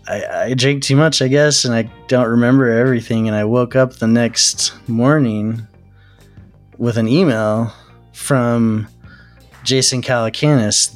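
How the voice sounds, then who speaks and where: A man in an interview, casually telling stories about his early career, relaxed and self-deprecating. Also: medium pitch. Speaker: startup founder interviewee.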